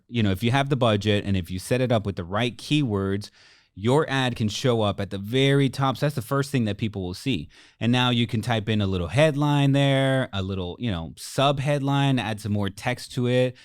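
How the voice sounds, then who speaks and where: A man's voice: mid-range voice, normal speed, smooth, no echo, clean studio sound. A man co-hosting a business podcast, explaining things informally and speaking straight to the listeners. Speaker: podcast co-host, marketing agency coach. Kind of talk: casual business podcast conversation.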